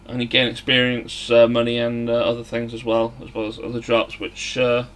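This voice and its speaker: A man giving casual, somewhat halting video game commentary as a let's play host. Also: medium pitch, monotone, a bit bright. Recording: music behind the voice. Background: game music.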